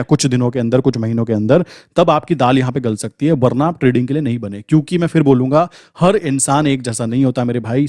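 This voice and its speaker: A man's voice: a little high, darkish tone, dry recording, studio quality. A man with an Indian accent giving an informal motivational trading lesson, speaking emphatically and colloquially with repeated phrases and rhetorical questions. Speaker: trading coach and channel host.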